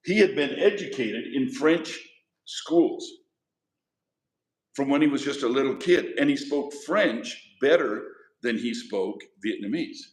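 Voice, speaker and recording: A man teaching history to a class, talking in an easy, conversational way and stopping to quiz his students. His voice sits at a middle pitch. The classroom gives it a clear echo. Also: lively intonation, measured pace. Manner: conversational, asks the class questions. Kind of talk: classroom lecture. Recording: echoey classroom.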